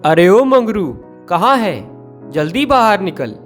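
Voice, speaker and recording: Officious, high-pitched cartoon minister. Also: male voice, lively intonation, measured pace, soft background music. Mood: commanding. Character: bossy officious male royal court minister. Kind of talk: cartoon story dialogue.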